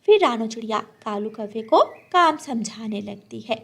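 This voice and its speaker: A woman reads a scripted children's story as narrator. Her voice is high, and her intonation moves in a lively way from phrase to phrase. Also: measured pace, gravelly.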